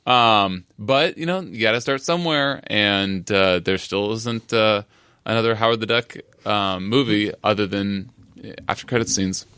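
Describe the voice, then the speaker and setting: A man's voice: on the low side, measured pace, pushed and bright, clean tone. A man rambling and teasing in a casual comics podcast chat. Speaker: podcast co-host.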